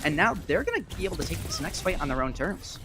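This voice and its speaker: A man's voice: fairly high pitch, big pitch swings, quick pace, background noise. A man giving live play-by-play esports commentary in a conversational style with wry phrasing. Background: music.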